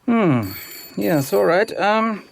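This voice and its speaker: Smug German-accented shrink for radio comedy, high voice, sing-song delivery. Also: male voice, lively intonation, slow pace, vocal fry, a little hiss or hum, exaggerated voice. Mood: self-important. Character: pompous male psychiatrist, self-important. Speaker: psychiatrist. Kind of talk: radio sitcom acting.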